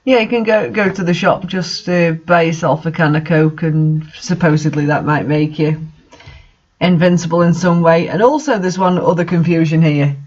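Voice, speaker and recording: A man's voice: fairly high pitch, moderate pace, a little mellow, muffled recording. A man hosting a comic reading of bad fanfiction, commenting casually in a bemused, hesitant, mocking manner.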